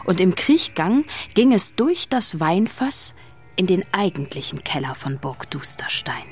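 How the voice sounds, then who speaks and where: A woman narrating a scripted children's audio drama as storyteller. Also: on the low side.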